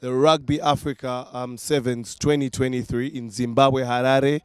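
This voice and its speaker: A man's voice: medium pitch, little pitch movement, normal speed, clean tone, no room sound. A man from Uganda hosting a casual podcast panel and wrapping it up in an easygoing, chatty way. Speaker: podcast host.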